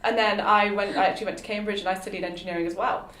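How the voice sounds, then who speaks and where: A woman's voice: medium pitch, steady tone, racing, a bit bright, roomy sound, a little hiss or hum, poor audio. A young woman from the English Midlands, a guest entrepreneur chatting casually with many ums and laughs. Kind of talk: casual conversation. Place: meeting room at a round table.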